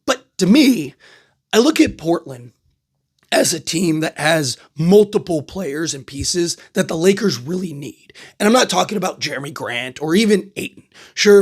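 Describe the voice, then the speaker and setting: A man who is losing his voice to a cold, hosting a casual solo basketball talk show and often tagging his points with "right?". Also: on the high side. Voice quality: frequent "right?" tags and filler words. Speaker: basketball podcast host. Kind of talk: casual solo sports commentary.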